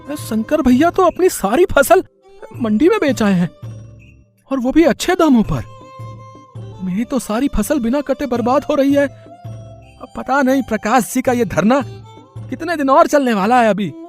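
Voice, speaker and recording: Man playing an anxious high-pitched farmer. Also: animated, measured pace, pauses often, soft background music. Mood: worried. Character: anxious poor farmer, younger brother and father. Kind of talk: Hindi moral story voice acting.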